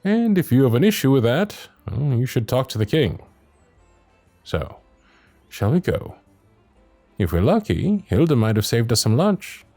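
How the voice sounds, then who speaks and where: A man's voice: medium pitch, sing-song, a little slow, long pauses, a little mellow, soft background music. A man playing a loyal, protective royal knight in a fantasy ASMR roleplay, speaking in courtly phrases, both teasing and earnest. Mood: amused, teasing. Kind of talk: ASMR roleplay acting, fantasy audio drama.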